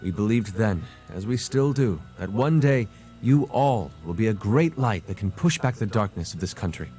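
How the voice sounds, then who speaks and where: An actor playing an eloquent, playful noble prince in a game cutscene, with a refined, theatrical manner. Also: male voice, on the low side, animated, moderate pace, mellow, natural voice. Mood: measured, earnest. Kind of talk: scripted game cutscene acting. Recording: music behind the voice.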